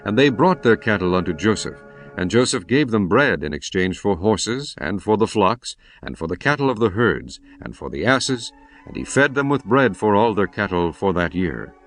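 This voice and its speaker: A man narrating scripture in a solemn, expressive scripted reading. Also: lowish voice, moderate pace. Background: faint music.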